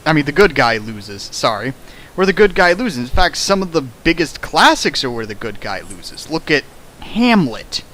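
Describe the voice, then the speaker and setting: Guy hosting a fanfic commentary, kinda high bright voice, emphatic and jokey. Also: very animated, moderate pace. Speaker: host of a dramatic fan fiction reading. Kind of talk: casual critical commentary, partly read from a script.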